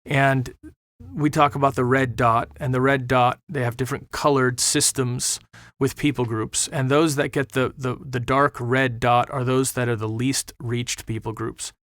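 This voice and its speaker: A man's voice: mid-range voice, level tone, a little slow, fairly bright tone, no echo, clean studio sound. A middle-aged missionary man in an earnest podcast conversation, talking with preacher-like passion and repeating words as he drives points home. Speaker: missionary guest.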